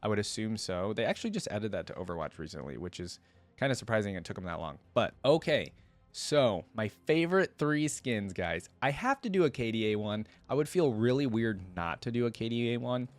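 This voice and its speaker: A man's voice: fairly low pitch, expressive, a little fast. A man hosting a reaction video, talking informally and thinking aloud, sometimes reading aloud to his viewers.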